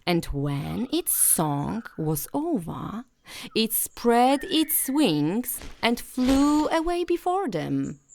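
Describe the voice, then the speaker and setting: A woman narrating a bedtime fairy tale as a storyteller, in a gentle, soothing and expressive way. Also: a little high.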